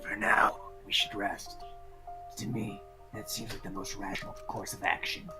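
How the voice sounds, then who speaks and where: A man playing a low, cool, rational student. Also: flat delivery, normal speed, close to an everyday voice. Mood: cool, hushed. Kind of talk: anime game fandub acting. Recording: music playing behind.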